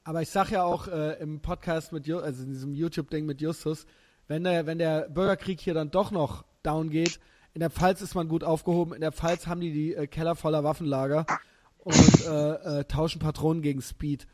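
A German man in his thirties hosting a podcast, chatting casually and telling animated, slangy stories with frequent laughter. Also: a little high, fairly quick. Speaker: podcast host. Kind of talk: casual chat.

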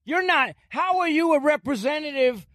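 Loud, profane older man, very high-pitched. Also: lively intonation, normal speed, dry recording, studio quality. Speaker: guest. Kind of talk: heated podcast conversation.

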